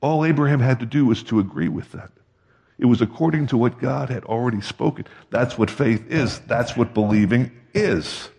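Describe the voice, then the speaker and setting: A man's voice: mid-range voice, normal speed, creaky, darkish tone. A man giving a sermon in a conversational way, often checking in with the congregation with questions. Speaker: pastor. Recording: long hall reverb.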